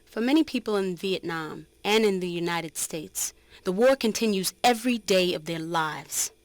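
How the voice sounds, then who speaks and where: Woman playing an unapologetic ex-guerrilla woman, lower register, dry close sound, earnest dramatic monologue. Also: moderate pace, no echo, lightly acted. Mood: grave, firm. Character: resolute former Viet Cong woman fighter, reflective and unapologetic. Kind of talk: dramatic reading of a written testimony.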